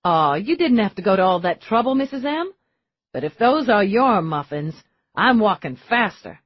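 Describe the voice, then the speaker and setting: A woman's voice: medium pitch, very animated, normal speed, slightly rough, no room sound, pitched above the performer's own voice. A woman voicing a sly, boyish young man who is charming and ingratiating, in a dull lo-fi audiobook recording. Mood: eager.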